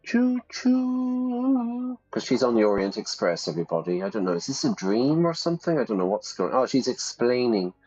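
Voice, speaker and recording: Animated man streaming a game. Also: medium pitch, moderate pace, muffled recording. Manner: playful, thinking aloud to viewers. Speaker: live streamer and English teacher. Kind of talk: casual commentary while playing a game.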